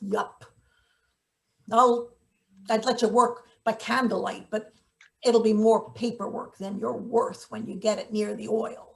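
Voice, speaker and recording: A sardonic older forewoman played by a woman in a satirical stage play reading. Her voice sits fairly high, and she talks in dry, wordy sarcasm with a hard, bossy edge. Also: little pitch movement, unhurried, gravelly. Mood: dry, sarcastic. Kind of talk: live table read of a satirical play.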